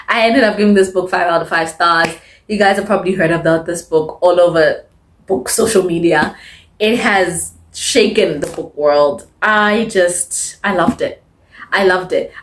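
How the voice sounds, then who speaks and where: Female book reviewer, slightly low voice, casual and chatty, emphatic delivery with repeated words for stress. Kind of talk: casual chatty monthly reading wrap-up.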